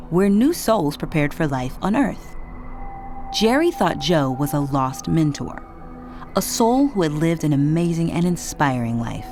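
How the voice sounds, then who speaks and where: A woman reading scripted narration for a children's read-along storybook in an expressive, dramatic way. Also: sits low. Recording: music behind the narration.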